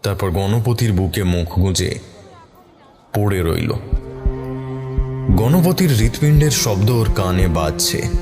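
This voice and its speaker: A man's voice: fairly low pitch, animated, slow, long pauses. A man narrating a scripted horror story in a dramatic style. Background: music behind the voice.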